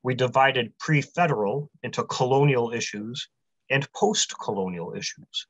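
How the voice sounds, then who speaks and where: A man in his forties, a publisher, giving a prepared convention talk from a small room over a video call, in a measured delivery that runs through long lists. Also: mid-range voice, fairly bright tone, no echo. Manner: measured, list-heavy delivery. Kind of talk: prepared talk read aloud. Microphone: webcam on a video call. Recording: dry, slightly muffled webcam audio.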